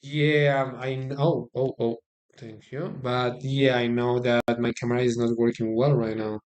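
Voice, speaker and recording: A Colombian man with a Spanish accent gives easygoing live-stream chess commentary in a medium-pitched voice. He talks in a chatty, stop-start way, repeating words as he reaches for them and turning often to thank his viewers. He is recorded on a phone. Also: level tone, a little slow, darkish tone.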